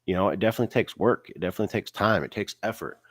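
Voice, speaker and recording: A man's voice: on the low side, rapid-fire, dry recording. A man with a cold, sounding stuffy and nasal, giving a casual faith-based motivational talk full of "you know" and "right?" fillers. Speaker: online business vlogger.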